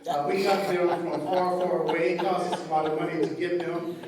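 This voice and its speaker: Male panel moderator, rather high voice, booming church echo, mic at a distance; loose, filler-heavy delivery. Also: flat delivery, brisk, a crowd in the background. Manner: conversational, loose, with frequent fillers. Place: church sanctuary.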